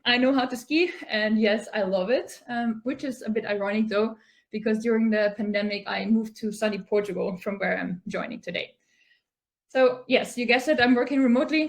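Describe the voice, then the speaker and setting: A woman from Austria with an Austrian accent, giving an online meetup presentation in a friendly way with frequent "um" fillers. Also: mid-range voice. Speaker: conference speaker. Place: home office, remote.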